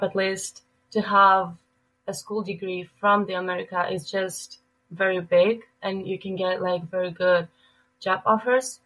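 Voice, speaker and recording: Young raised woman, relaxed and chatty. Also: mid-range voice, dull audio. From Greece. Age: 20s. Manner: relaxed, lots of "like" fillers. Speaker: college volleyball player guest. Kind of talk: casual interview answers.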